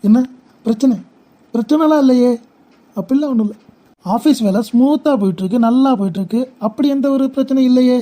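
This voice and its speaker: A woman's voice: high-pitched, quick pace, dark tone. A woman voicing an envious, evasive middle-aged husband in a cartoon parody, grumbling and whining. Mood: nervous, evasive.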